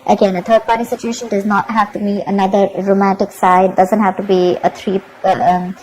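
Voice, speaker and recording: A woman's voice: mid-range voice, flat delivery, a little fast, darkish tone, slight background noise, low-quality recording. A woman giving a tarot reading to her viewers, emphatic and repetitive, often checking in with "okay?". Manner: emphatic, repeats herself, keeps asking "okay?". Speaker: tarot reader.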